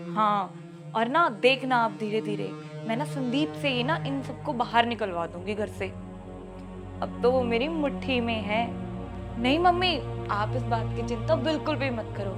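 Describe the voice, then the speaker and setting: A woman playing a sly, boastful young daughter-in-law in a family drama. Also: fairly high pitch. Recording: background music under the voice.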